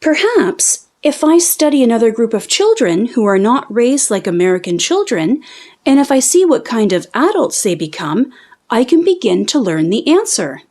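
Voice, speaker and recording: A woman's voice: on the high side, big pitch swings, moderate pace, darkish tone. A woman voicing a thoughtful, eager young female explorer in a children's storybook, earnest and reflective. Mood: earnest, pondering.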